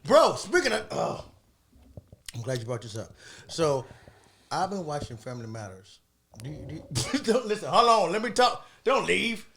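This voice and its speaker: Podcast guy in his 30s, lively, trips over his words, a bit of phlegm, faint hiss behind. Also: a little high, normal speed, gravelly. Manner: animated, stammering restarts, laughs a lot. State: phlegmy throat from illness. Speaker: podcast co-host. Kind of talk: casual chat.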